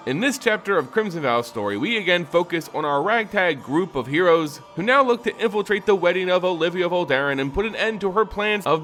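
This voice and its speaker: A man reading scripted fantasy narration as a host and storyteller, with a measured, dramatic delivery. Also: a little high, fairly bright tone. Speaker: host and story narrator of a fan lore channel. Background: music under the voice.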